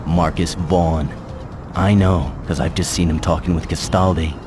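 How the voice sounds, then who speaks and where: A man's voice: deep voice, fairly even intonation, unhurried, over loud music. An actor playing a tough, guarded undercover wheelman in a video game, laconic and understated. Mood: cool, guarded. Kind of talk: dramatic video game cutscene acting.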